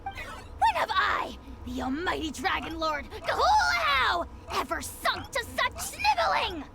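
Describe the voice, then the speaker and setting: A man's voice: very high voice, huge pitch swings, measured pace, vocal fry, loud music behind the voice, heavily acted. A man voicing a tiny, pompous, bullying dragon lord in a video game, bombastic and mocking. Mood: haughty, indignant. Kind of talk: cartoonish game character dialogue.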